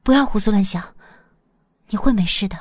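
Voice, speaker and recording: A woman's voice: mid-range voice, a little fast, no room sound, close to an everyday voice. Woman doing a caring young heroine, resolute, dry close sound, audio drama. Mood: concerned.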